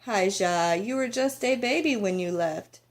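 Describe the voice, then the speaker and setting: A woman's voice: mid-range voice, lively intonation, measured pace, natural voice. A woman voicing a loving old grandmother from a storybook, tender and poetic as she reminisces. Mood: reassuring.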